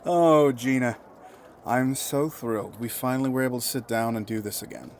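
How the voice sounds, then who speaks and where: Man acting a measured, guarded friend, faint noise. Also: medium pitch, darkish tone, natural voice. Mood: polite, guarded. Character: grieving, guarded, polite male friend. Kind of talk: audio drama acting.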